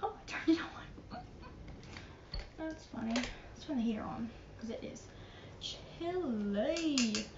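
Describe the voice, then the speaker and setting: A woman's voice: a little high, slow pace, long pauses. Chatty young woman in an echoey bedroom. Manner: chatty, rambling, mock-dramatic about small things. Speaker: vlogger. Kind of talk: casual clean-with-me vlog chatter. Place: carpeted bedroom, indoors. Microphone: phone camera set across the room. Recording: echoey bedroom, dull phone audio with steady hiss.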